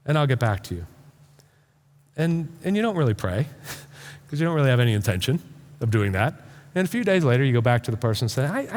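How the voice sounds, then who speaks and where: Man, pastor, lively swooping intonation, informal sermon, halting repetitions, long hall reverb. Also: a little high. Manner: informal, halting, repeats words for emphasis. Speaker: pastor preaching. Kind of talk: conversational sermon to a congregation.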